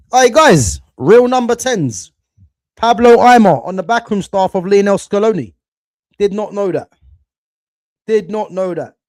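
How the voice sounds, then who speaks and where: A young man from England hosts a live football watch-along, talking loosely to his viewers with plenty of slang and restarts. His voice sits fairly high for a man. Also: a little mellow. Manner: chatty, slangy, talks to chat, restarts often. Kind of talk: casual football chat with viewers.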